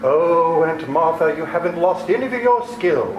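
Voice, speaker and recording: A man's voice: fairly high pitch, animated, moderate pace, dull audio, music in the background, close to an everyday voice. A man playing a menacing, scheming criminal nephew in a radio play, mock-courteous, theatrical and commanding. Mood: falsely sweet. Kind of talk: 1940s-style radio theater acting. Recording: echoey room with faint noise.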